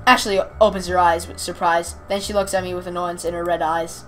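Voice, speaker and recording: A woman's voice: on the low side, little pitch movement, brisk, faint music behind. A woman reading a visual novel aloud, stumbling over words and rereading them, with off-script comments. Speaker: amateur reader of a visual novel.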